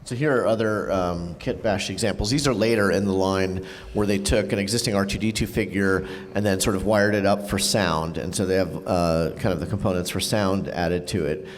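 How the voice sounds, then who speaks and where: A man co-presenting at a convention panel, talking casually with halting restarts and fillers. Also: low-pitched, slight background noise. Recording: reverberant hall.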